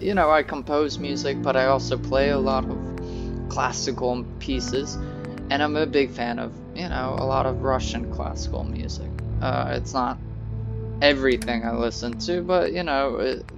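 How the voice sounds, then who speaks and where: A young man talks slowly and loosely over his gameplay, pausing often with fillers. His voice has a gravelly rasp at mid pitch. Game music plays clearly behind him. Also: steady tone, lo-fi sound. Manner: rambling, hesitant, many fillers. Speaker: gaming video host. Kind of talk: casual let's play commentary.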